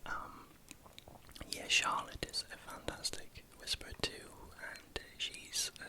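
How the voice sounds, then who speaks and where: A man with a Geordie accent from northeast England, speaking as an ASMR creator in a hesitant, halting way while recounting things. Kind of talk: whispered ASMR vlog, recounting.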